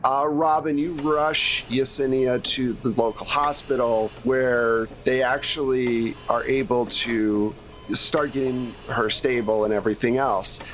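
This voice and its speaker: A man's voice: medium pitch, steady tone, a little slow, fairly bright tone, a little hiss or hum, low-quality recording. A man speaking as game master and host of an actual-play podcast, in a conversational style.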